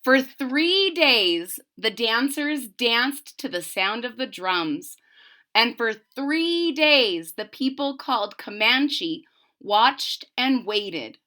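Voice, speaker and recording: A woman reading a children's story aloud expressively, deliberately, with explanatory asides to young listeners. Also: fairly high pitch, animated, pushed and bright.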